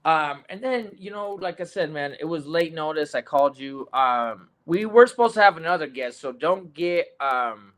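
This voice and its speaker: This is a man in his forties hosting a loose sports chat, talking a touch high for a man. He rambles, restarts and fills the gaps with ums and uhs. He is heard through a video call. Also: lively intonation, normal speed, few pauses, fairly bright tone. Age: 40s. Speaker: podcast host. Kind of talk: casual sports podcast chat.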